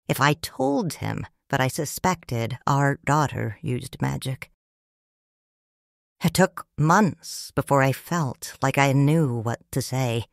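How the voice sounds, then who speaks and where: A middle-aged woman reads a first-person story as a grieving widow and mother. Her voice is low but expressive, with wide melodic rises and falls, and her pace is slow and tender. The sound is dry and close. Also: dry recording. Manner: slow, soft, tender and reflective. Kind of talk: scripted audiobook narration.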